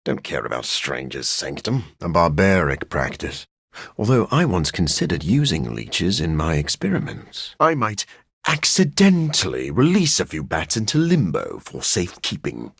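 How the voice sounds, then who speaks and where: An actor playing a brooding, scholarly vampire in a video game, a man with a husky, gravelly voice and a dry, formal manner. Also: sits low, huge pitch swings, moderate pace, a bit bright, dry recording, exaggerated voice. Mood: wry, awkward. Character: brooding, scholarly living vampire scientist, grim and awkward, adult man. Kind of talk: video game character acting.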